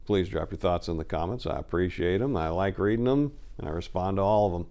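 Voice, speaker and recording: A man's voice: deep voice, lively intonation, a little fast, mellow. A man narrating a story as host, in a dramatic, theatrical and emphatic storytelling style.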